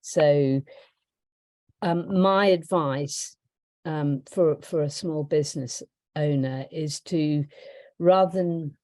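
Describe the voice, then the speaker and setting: A woman hosting a business webinar, talking through slides in a hesitant way with lots of ums and a croaky voice. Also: low voice, slow, pauses often, warm tone, dry recording. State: croaky voice, clearing throat. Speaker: webinar host for a holiday rental business.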